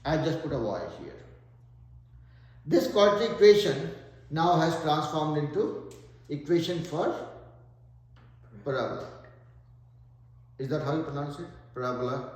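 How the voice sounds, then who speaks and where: Man, Indian accent, math tutor, fairly high voice, very echoey room. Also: a little slow, pauses often, darkish tone. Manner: explains step by step, thinks aloud while working. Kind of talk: whiteboard math lesson.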